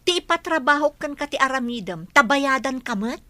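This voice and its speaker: A woman's voice: high voice, animated, brisk, bright, forceful tone, no room sound. A woman with a Filipino Ilocano accent playing an imperious, scheming woman in an audio drama. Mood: impatient, demanding. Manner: imperious, impatient, demanding. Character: scheming, bossy woman plotting against a relative.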